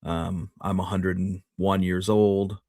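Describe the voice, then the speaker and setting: Male co-host, deep register, measured casual storyteller who stops and restarts, dry recording. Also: flat delivery, a little mellow, no room sound. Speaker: history show co-host.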